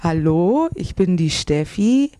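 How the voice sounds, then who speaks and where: A woman in her twenties, a musician answering interview questions, relaxed and laughing often. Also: fairly low pitch, takes it slowly, noisy background. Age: 20s.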